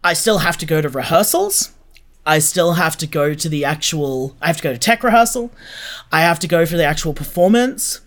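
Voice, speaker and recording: A man's voice: fairly high pitch, animated, fairly quick, a bit bright. A man giving chatty, informal answers as an interview guest. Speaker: interview guest, podcast creator. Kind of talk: casual conversational interview answers.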